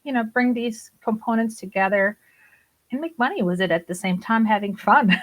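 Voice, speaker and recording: A woman's voice: fairly high pitch, normal speed, low-quality recording. A woman in her forties, raised in Germany with Turkish as her first language, chatting as a podcast guest and laughing often. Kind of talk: conversational interview.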